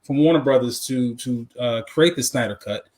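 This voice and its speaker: A man's voice: medium pitch, normal speed, darkish tone. A man chatting casually and informally as a podcast co-host, giving his opinions and repeating phrases for emphasis. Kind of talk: casual live podcast chat. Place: live podcast.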